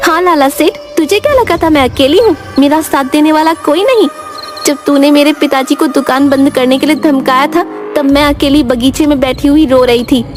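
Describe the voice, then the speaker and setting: A woman's voice: high-pitched, moderate pace, voice pushed up, close to an everyday voice. A woman voicing a feisty, fearless young sweet seller in a cartoon story, defiant and taunting. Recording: music behind the voice.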